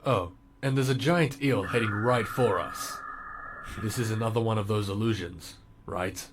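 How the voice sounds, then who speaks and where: A man's voice: fairly low pitch, normal speed, slight background noise, lightly acted. A man voicing a hesitant, puzzled young heroic warrior in fan dub dialogue, with a questioning manner. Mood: puzzled, uneasy.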